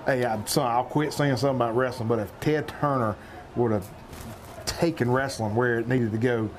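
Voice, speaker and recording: Middle-aged man, hoarse, joking color commentator, mid-range voice. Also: mid-range voice, steady tone, moderate pace, a little hiss or hum. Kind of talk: local football commentary banter.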